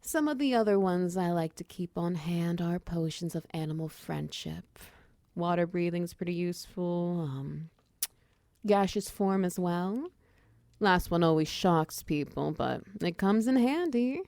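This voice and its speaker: A woman's voice: on the low side, expressive, a little slow, dry recording, studio quality. A woman playing a confident, flirtatious female minotaur wizard who runs a potion shop, speaking to the listener in intimate, teasing roleplay ASMR. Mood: businesslike, friendly. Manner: teasing, coy, softly breathy in places.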